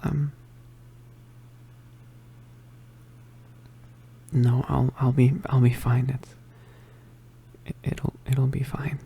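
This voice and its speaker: A man playing a badly injured, weary young male witch in an ASMR roleplay, murmuring haltingly in a weak, pained voice. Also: mid-range voice, little pitch movement, vocal fry, mellow, slightly rough, heavily acted. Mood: pained, reassuring. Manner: halting, hesitant, murmured, understated. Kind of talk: ASMR roleplay, soft intimate whispering.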